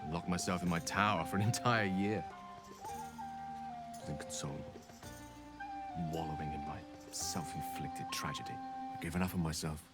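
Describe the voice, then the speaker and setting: An actor playing a wistful, scholarly and self-deprecating wizard in a video game, speaking in florid, theatrical phrases. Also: male voice, deep voice, huge pitch swings, moderate pace, pauses often, loud music behind the voice. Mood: confessional, rueful. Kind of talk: video game character dialogue.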